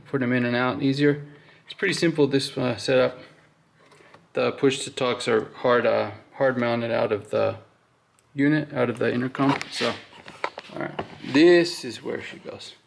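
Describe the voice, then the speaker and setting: A man's voice: mid-range voice, moderate pace, gravelly, slight background noise. A man giving a casual hands-on walkthrough, thinking aloud informally as he works. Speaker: host of a hands-on wiring walkthrough. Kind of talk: casual workshop explanation while working.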